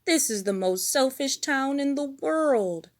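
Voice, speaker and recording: A woman's voice: high-pitched, lively intonation, unhurried, voice pushed up. A woman voicing an earnest, weary male frog sheriff in a children's cartoon storybook, sensible and given to sighing. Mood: disgusted.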